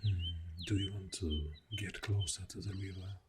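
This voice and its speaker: A man playing a clingy, adoring boyfriend in a soft, breathy ASMR roleplay, murmuring tenderly and often dropping toward a whisper. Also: sits low, fairly even intonation, a little slow, over loud music. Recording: birdsong and nature sounds throughout. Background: birdsong, river and forest ambience.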